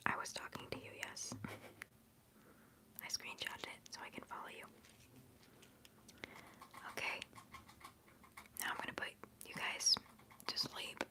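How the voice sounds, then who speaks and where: A woman's voice: measured pace, long pauses. A young woman from the United States whispering for an ASMR video at home, chatting in a rambling, giggly way full of "like" into a close mic. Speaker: ASMR creator. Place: her bedroom at home.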